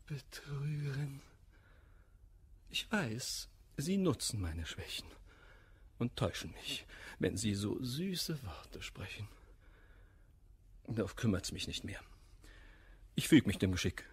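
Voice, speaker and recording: Husky, volatile jealous gentleman. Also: medium pitch, big pitch swings, slow, long pauses, heavily acted. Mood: wounded, resigned. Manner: declamatory, volatile, impassioned. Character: jealous, hot-tempered lovelorn gentleman, master to a servant. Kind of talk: classical verse comedy radio play acting.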